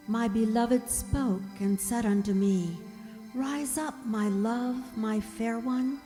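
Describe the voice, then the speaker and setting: Radio drama, young servant woman's inner voice played by an actress: gentle, fearful, slow, slightly high, echoing hall sound. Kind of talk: radio drama acting, first-person narration. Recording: reverberant hall, music behind.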